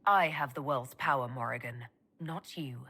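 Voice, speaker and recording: A woman playing a stern, sharp elf mage commander in a video game, speaking in a curt, firm manner with some huskiness. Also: rumbling low, moderate pace, husky, full character voice. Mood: cold, resolute. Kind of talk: dramatic video game dialogue.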